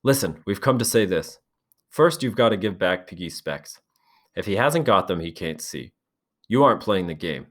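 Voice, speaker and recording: A man voicing an earnest boy chief who speaks loudly and insistently. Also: sits low, expressive, moderate pace. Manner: forceful, insistent.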